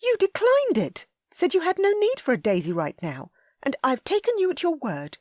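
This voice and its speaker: A woman voicing a proud young high-born lady with sharp, huffy retorts. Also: huge pitch swings, normal speed, dry recording, studio quality, heavily acted. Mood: angry.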